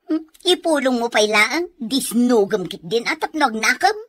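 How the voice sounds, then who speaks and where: A woman's voice: fairly high pitch, expressive, a little slow. A woman playing a bossy, resentful older sister in an Ilocano radio drama, scolding sharply with an Ilocano accent.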